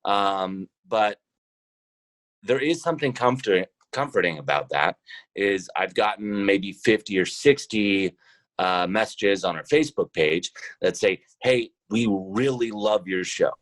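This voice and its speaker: A man speaking casually as an interview guest, pausing often to think as he goes. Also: on the low side, fairly bright tone, dry recording, low-quality recording. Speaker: podcast guest, role-playing show founder and trucker.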